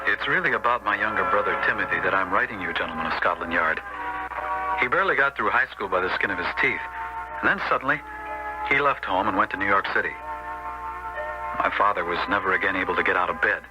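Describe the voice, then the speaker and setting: A man's voice: sits low, fast talker, close to an everyday voice. An actor playing an earnest, dutiful American elder son who tells his story soberly in a radio drama. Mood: sober, confessional. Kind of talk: 1970s radio drama acting and narration. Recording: muffled old radio recording, music behind.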